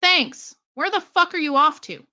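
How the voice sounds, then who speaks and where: Casting: a woman playing a crude, foul-mouthed wolf with a high, bright, sneering voice, dry close sound. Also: animated, normal speed, exaggerated voice. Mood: rude. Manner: swearing, sneering.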